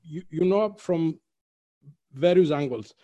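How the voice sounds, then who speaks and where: A man's voice: fairly high pitch, takes it slowly, warm tone, highs cut off. A man with a Cypriot accent hosting a casual interview, hesitant with many fillers. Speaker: host.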